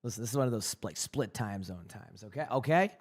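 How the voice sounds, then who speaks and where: A male streamer chatting casually with his live chat, his voice hoarse and rambling with many restarts. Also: lowish voice, lively intonation, a little fast.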